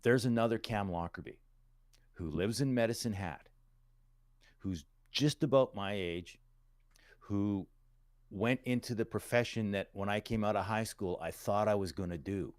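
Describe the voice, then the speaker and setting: A middle-aged man from Calgary speaks in a low voice and tells stories easily as a guest. He is folksy and plain-spoken. The sound is dry and close. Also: unhurried, long pauses, darkish tone, no room sound. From Calgary, Alberta, Canada. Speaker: guest, musician. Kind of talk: casual interview storytelling.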